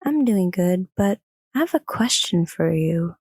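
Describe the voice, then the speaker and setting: A woman playing a sweet, trusting young daughter in an audio drama, speaking gently and earnestly. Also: fairly low pitch, expressive, measured pace, slightly rough, no room sound, studio quality, full character voice. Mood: casual, friendly. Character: sweet, trusting daughter, child to mid-teens, telling family stories.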